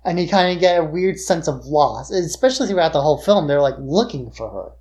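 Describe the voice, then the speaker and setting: A woman's voice: low-pitched, animated, fairly quick, darkish tone. A woman co-hosting a podcast in a casual, conversational discussion of films. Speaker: podcast co-host.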